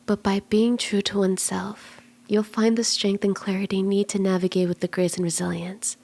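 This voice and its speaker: A woman voicing a flirty, soothing kitsune fortune teller in an ASMR roleplay, speaking gently and coaxingly, at times in breathy whispers. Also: medium pitch, level tone, moderate pace. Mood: soothing, mystical. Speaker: tarot reader.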